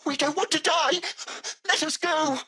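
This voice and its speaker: An actor playing a polite artificial-intelligence car driver in a video game, with formal, courteous, hesitant phrasing and an anxious streak. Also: male voice, very high voice, fairly even intonation, a little slow, creaky, sharp tone, heavily acted. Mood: panicked. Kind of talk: acted video game dialogue.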